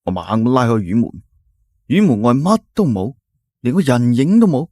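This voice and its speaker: A man with a Cantonese accent narrating a folk tale in the first person, telling it in a scripted, dramatic and expressive way. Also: mid-range voice, dry recording, studio quality. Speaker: storyteller.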